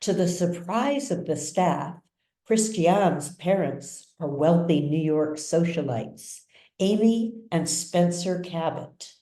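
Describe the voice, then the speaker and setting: A woman narrating a literary story as its author, with measured, dramatic delivery. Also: on the low side, mellow. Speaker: author reading own novel. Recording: roomy echo, distant mic.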